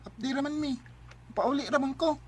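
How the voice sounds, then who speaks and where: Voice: high-pitched, moderate pace, close to an everyday voice. A performer playing a dutiful, affectionate young schoolchild in a Bisaya radio drama, with a Filipino accent. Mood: sweet, eager.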